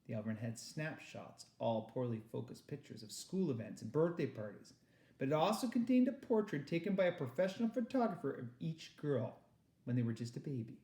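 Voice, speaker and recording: A man reading a children's novel aloud with expressive narration, recorded in an echoey room. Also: mid-range voice, a little fast. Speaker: read-aloud reader.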